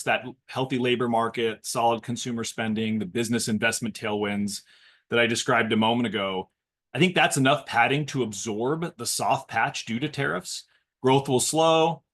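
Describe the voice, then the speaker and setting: A man speaking as a financial panelist, giving measured, analytical commentary on economics in structured explanations. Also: mid-range voice, a bit bright.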